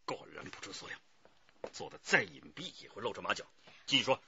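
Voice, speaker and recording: An actor playing a decisive, authoritative police detective chief giving orders in a radio drama. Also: male voice, medium pitch, very animated, fairly quick, vocal fry, dull audio, noisy background. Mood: focused, urgent.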